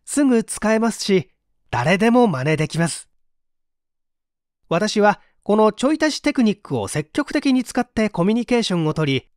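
An audiobook narrator reads scripted prose in clearly phrased, measured sentences. The pitch moves up and down in a lively way, and the sound is dry, free of room echo. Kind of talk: scripted book reading.

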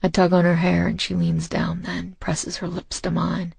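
A man narrating a romance audiobook in the first person, intimate, hushed and intense, with deliberate phrasing. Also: sits high, monotone, no echo. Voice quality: husky, breathy, gravelly.

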